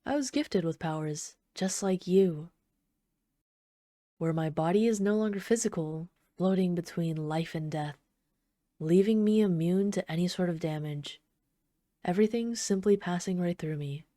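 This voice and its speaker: Woman acting a mellow, obsessive yandere villainess. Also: lowish voice, normal speed, no room sound, studio quality, close to an everyday voice. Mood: smug, scornful. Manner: doting, soft-spoken, possessive, taunting. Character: obsessive yandere female supervillain, sweetly menacing, adoring her captive. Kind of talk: ASMR roleplay.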